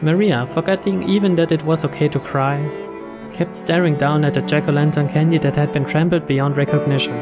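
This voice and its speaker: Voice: mid-range voice, fairly even intonation, fairly quick. A narrator giving a scripted reading of a story from a visual novel. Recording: voice over clear string music.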